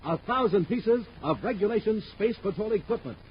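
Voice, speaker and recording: A man's voice: sits high, lively intonation, normal speed. A man announcing and narrating in 1950s radio style with an emphatic, dramatic showman delivery on an old recording. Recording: muffled old radio recording, faint noise.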